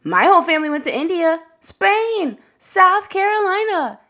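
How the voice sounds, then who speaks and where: A woman voicing excited young schoolchildren in a picture book, eagerly showing off about their holidays. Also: very high-pitched, normal speed, voice pushed up, full character voice. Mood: eager, proud.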